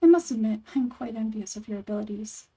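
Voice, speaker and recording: Earnest, mellow scholarly woman voiced by a woman. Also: on the high side, animated, husky. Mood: earnest, wistful. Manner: formal, articulate, measured. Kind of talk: video game dialogue read-through.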